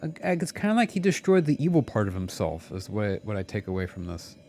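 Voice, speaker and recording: A man's voice: lowish voice, big pitch swings, fast, darkish tone. A man live-streaming a game, giving playful, rambling, casual commentary as he plays. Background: game music.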